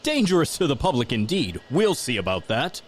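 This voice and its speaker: An actor playing a pompous, blustering railway controller in an audio storybook, speaking emphatically. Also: male voice, mid-range voice, sing-song, a little fast, a little hiss or hum, lightly acted. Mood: indignant. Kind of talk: audio storybook character acting.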